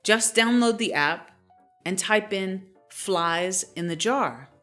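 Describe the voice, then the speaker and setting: A woman's voice: mid-range voice, lively intonation, slow, fairly bright tone, echoey room. A woman hosting a behind-the-scenes promotional feature, speaking to the audience. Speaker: host and singer. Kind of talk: promotional behind-the-scenes talk and sung performance. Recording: music playing behind the voice. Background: plucked guitar music.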